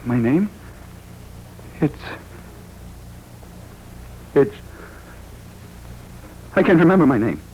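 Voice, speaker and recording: A frightened, confused accident patient in an old radio drama. The voice is fairly high and very slow, swinging widely as he gropes haltingly for words. The recording is muffled and hissy. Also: expressive, slightly rough, heavily acted. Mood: agitated, confused. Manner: agitated, halting, overwrought delivery. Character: dazed, frightened male accident patient with lost memory. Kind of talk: 1940s radio drama acting.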